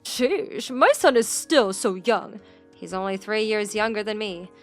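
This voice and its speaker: Spiteful, haughty grandmother for story dialogue, forceful and scolding, played by the female narrator, light music behind. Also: medium pitch, a little slow, close to an everyday voice. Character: spiteful, nagging older grandmother, openly playing favorites.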